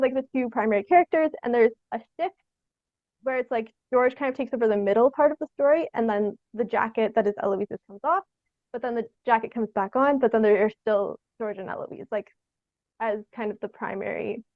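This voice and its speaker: A young woman from Canada, chatting casually in an interview as a theatre artist, haltingly thinking aloud with lots of "like". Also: on the high side, no room sound, muffled recording. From Sault Ste. Marie, Canada. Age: young adult.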